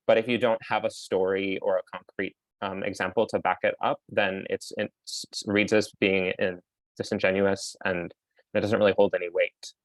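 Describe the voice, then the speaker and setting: A man with a very low voice speaks slowly and thoughtfully, weighing each point and filling his pauses with ums. The sound is dry and close, with no room echo. He comes across as a faculty panelist offering careful, measured advice. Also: flat delivery.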